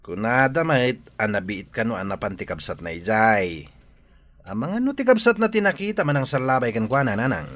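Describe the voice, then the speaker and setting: A man with an Ilocano accent playing a chatty, polite job seeker with a husky voice in an audio drama. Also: medium pitch, sing-song, fairly quick, a bit bright.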